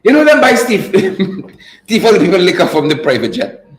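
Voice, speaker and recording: A man's voice: sits high, wildly swooping pitch, normal speed, echoey room, soft background music. A man co-hosting a casual current-affairs chat, joking and laughing as he slips into dialect. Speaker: co-host.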